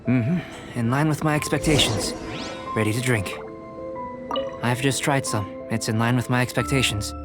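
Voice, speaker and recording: A man playing a calm young alchemist in a video game, self-assured and analytical, explaining things in a measured, precise way. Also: medium pitch, over music, natural voice. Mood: composed, instructive. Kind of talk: video game character acting.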